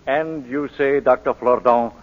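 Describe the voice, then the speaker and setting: An actor playing an insistent, courteous barrister who speaks formally in a 1940s radio courtroom drama. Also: male voice, mid-range voice, fairly even intonation, over loud music, lightly acted. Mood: probing, formal. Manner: formal, measured, oratorical. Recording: dull, reverberant 1940s radio recording.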